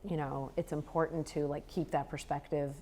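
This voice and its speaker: A woman speaking as a poet on a panel, measured and full of "you know" fillers. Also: deep voice, level tone, mellow, a little hiss or hum. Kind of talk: panel discussion.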